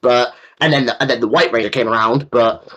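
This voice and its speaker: A man's voice: medium pitch, fairly even intonation, brisk, fairly bright tone. A man co-hosting a casual fan discussion, talking in an enthusiastic, rambling way with some casual swearing. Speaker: co-host.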